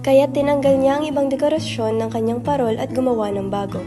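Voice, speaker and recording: Lady narrating a radio play, high mellow voice, light music behind. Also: fairly even intonation, brisk. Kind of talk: scripted radio drama narration.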